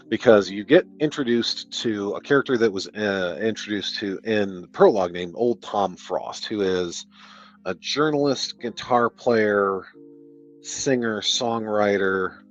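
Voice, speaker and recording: A man's voice: lowish voice, lo-fi sound. A man from the Detroit area with a Midwestern American accent, casually and sarcastically reviewing a book on a livestream, swearing and laughing as he goes. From Detroit area, United States. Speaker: streamer reviewing a novel.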